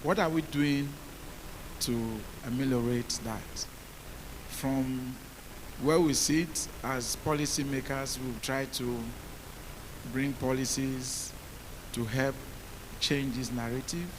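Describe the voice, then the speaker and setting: A deep-voiced male policymaker on a conference panel, speaking in a measured way and asking the audience rhetorical questions. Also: steady tone. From Nigeria. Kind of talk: panel talk. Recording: hissy conference mic.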